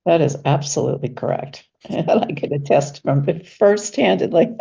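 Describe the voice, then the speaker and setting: Low, gravelly woman sounding stuffy. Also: expressive, dark tone, highs cut off. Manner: chatty, breathy laughs, fillers, slows down to stress words. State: stuffy from allergies. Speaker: guest coach. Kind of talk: casual interview conversation.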